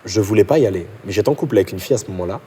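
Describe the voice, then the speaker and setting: A young man from Portugal, a pastor, telling his conversion story in a podcast chat, animated and then hushed at intimate moments. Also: slightly rough. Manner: fast, animated storytelling, drops low and soft at intimate moments. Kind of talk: personal testimony in a podcast chat.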